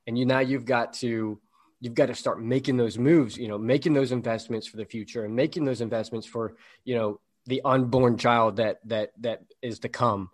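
A man's voice: mid-range voice, fairly even intonation, moderate pace. A man in his late twenties, co-hosting a podcast, talking earnestly and casually, often repeating words and swearing now and then. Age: 20s. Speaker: podcast co-host. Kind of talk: casual chat.

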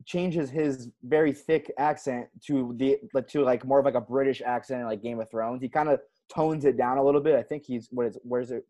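A man hosting a relaxed conversation, circling around his questions and repeating words as he goes. His voice sits at an ordinary pitch. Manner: halting, repeats words while asking questions. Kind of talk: casual chat.

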